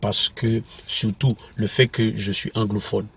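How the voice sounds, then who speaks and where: A minister from Quebec, whose first language is English, answers interview questions in a halting way, with many restarts and fillers. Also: male voice, on the low side, flat delivery, slightly rough. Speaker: apostolic minister and author.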